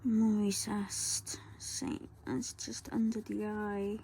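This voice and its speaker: A woman, talking softly through a colour-along tutorial and often dropping to a whisper. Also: medium pitch, monotone, slow, a little hiss or hum. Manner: soft, rambling, often drops to a whisper. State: croaky voice.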